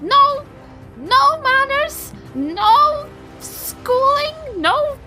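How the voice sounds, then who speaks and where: A woman voicing an indignant old granny adventurer in a tabletop game, scolding in a drawn-out schoolmarmish way. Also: extremely high pitch, sing-song, bright, forceful tone, soft background music, voice pushed up, exaggerated voice, rough recording. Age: elderly.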